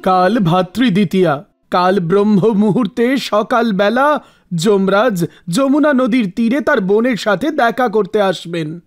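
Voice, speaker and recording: Calm holy man, acted by a man, over music. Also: high voice, unhurried. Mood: calm, advising. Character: calm male holy man giving counsel. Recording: music under the voice.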